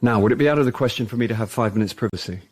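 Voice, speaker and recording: A man's voice: medium pitch, rapid-fire, breathy edge, natural voice. A man with a British accent playing a jaded, boozy middle-aged actor, wry and evasive, in radio comedy-drama with dry sardonic delivery. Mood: grumbling, defensive. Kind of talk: radio comedy-drama acting and wry first-person narration.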